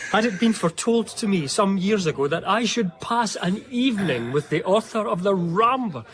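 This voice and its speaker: An actor playing an eager, earnest young eighteenth-century gentleman diarist in a radio drama, speaking in a formal, confiding, literary way. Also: high-pitched, expressive, moderate pace. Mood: eager, admiring. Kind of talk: radio drama narration and dialogue.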